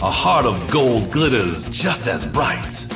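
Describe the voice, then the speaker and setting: A man playing an excitable, dramatic sports commentator giving play-by-play in a cartoon. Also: medium pitch, slow, over music. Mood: wry wrap-up.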